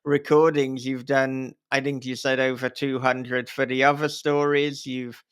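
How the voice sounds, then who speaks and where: A man's voice: medium pitch, little pitch movement, measured pace, a bit bright, smooth. A man hosting a casual interview podcast, hesitant and often rephrasing himself. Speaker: podcast host and author interviewing a guest.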